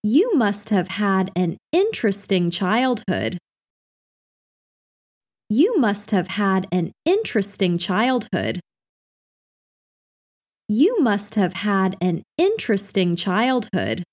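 A woman reading everyday practice phrases for an English lesson in a clear, carefully enunciated scripted delivery. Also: mid-range voice, very animated, slow, pauses often, darkish tone.

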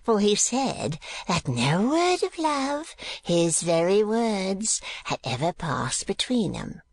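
A man voicing an anxious, sly old miser for a storybook, croaking and cracked, faltering and wheedling as he repeats himself. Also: sits high, big pitch swings, takes it slowly, voice pushed up, exaggerated voice. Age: older. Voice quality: croaking, wheezy cackle, husky and cracked.

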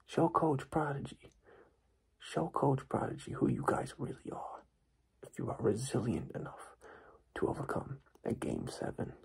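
A young man doing an ASMR basketball coach roleplay in a hushed, breathy, husky whisper, repeating himself as he addresses his team. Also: mid-range voice. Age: young adult. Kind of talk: ASMR roleplay pep talk and play explanation. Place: small dark room indoors.

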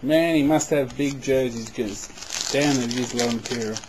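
A man talks casually while opening trading card packs, with a mid-range voice. He gets excitable and loud at good pulls. There's a faint hiss on the camera-mic recording. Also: medium pitch, moderate pace, low-quality recording. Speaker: card box breaker. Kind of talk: casual running commentary. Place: indoors at a table.

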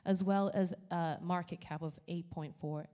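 Brief: middle-aged woman, founder pitching at an event, dark mellow tone, handheld mic. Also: steady tone, moderate pace. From Canada. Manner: enthusiastic, with small restarts. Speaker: company founder giving a pitch. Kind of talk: prepared stage talk. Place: event venue with brick wall and audience. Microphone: handheld microphone.

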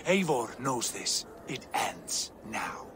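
An actor playing a hearty, boisterous Viking prince in a video game cutscene, laughing often. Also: male voice, medium pitch, sing-song, slow pace, background noise. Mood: determined.

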